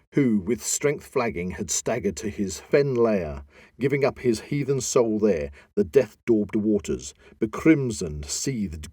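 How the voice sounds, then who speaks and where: A man giving a dramatic reading of epic poetry in a theatrical, deliberate manner with emphatic swells. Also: on the low side, mellow, slightly rough, no room sound, studio quality. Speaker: podcast host.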